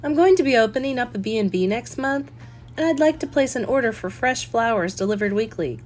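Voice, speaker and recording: A woman reader voices an independent grown daughter from a cozy mystery novel. The delivery is firm and earnest, with plenty of melodic movement in the pitch. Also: expressive, brisk, darkish tone, slight background noise, close to an everyday voice. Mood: polite. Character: grown daughter, independent, exasperated yet polite innkeeper.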